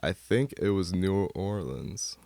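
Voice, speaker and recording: A man's voice: sits low, takes it slowly, vocal fry, a little mellow, no room sound. An American man from Michigan speaking as a guest in a casual interview, rambling in a laid-back, slangy way. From Michigan, United States. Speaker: guest artist. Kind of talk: casual studio interview chat.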